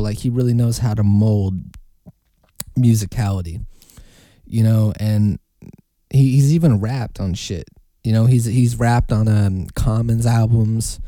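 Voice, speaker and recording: A young man hosts his own podcast in a loose, rambling, unhurried way, dropping curse words as he goes. His voice is very dark and mellow. The sound is dry and close, with no room around it. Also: medium pitch, no echo. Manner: loose, rambling, swears casually. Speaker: podcast host. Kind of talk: casual solo podcast talk.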